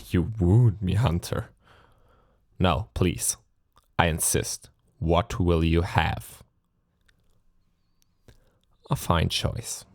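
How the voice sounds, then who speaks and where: A man performs a suave, cruel vampire lord for an intimate ASMR roleplay. His voice is low and creaky, recorded close and dry. He speaks with theatrical, condescending courtesy, seductive and mocking as he lingers over his phrases. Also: extremely slow, long pauses, no room sound, exaggerated voice. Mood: courtly, insistent.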